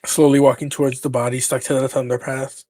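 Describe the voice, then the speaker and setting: A man's voice: mid-range voice, flat delivery, quick pace, a little mellow, no echo. Man narrating a story, dry recording. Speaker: narrator and roleplayer. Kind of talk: scripted story narration, third person. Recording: dry, music behind.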